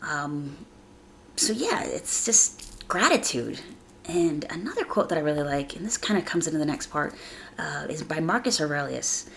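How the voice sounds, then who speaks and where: Low, creaky woman podcaster with a cold. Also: big pitch swings, normal speed, a little hiss or hum. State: slightly raspy from a chest cold. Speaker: podcast host. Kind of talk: casual motivational podcast monologue.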